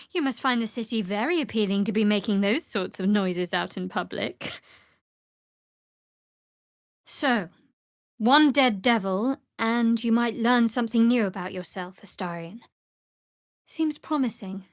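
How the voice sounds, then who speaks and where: A woman's voice: medium pitch, slow pace, long pauses, dry recording. A woman playing a guarded, sardonic young female cleric in a video game, with a dry, aloof, clipped delivery. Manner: dry, aloof, clipped sarcasm with earnest undertones. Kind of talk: video game character voice acting.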